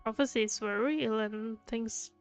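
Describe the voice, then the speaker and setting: Clear, high-voiced woman commenting on a game. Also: fairly even intonation, slow pace. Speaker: game streamer. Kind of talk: casual gameplay commentary. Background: game music.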